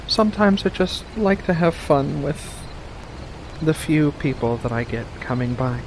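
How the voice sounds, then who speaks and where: A man voicing a playful, mischievous furry witch of the woods in a soft-spoken, teasing ASMR roleplay, full of gentle endearments. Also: on the high side, animated, unhurried, noisy background.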